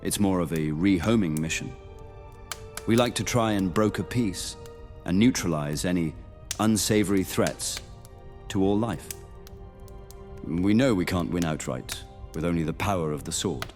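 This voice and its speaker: A man playing a level-headed medieval knight who guides new recruits in a fantasy audio roleplay, measured and explanatory. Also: low voice, darkish tone, soft background music. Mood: measured, cautioning.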